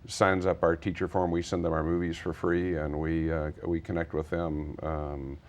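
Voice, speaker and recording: A man's voice: deep voice, level tone, moderate pace. A middle-aged American man from Texas speaking as a guest in an interview, earnest and discursive as he explains things conversationally. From Texas, United States. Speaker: interview guest, nonprofit founder.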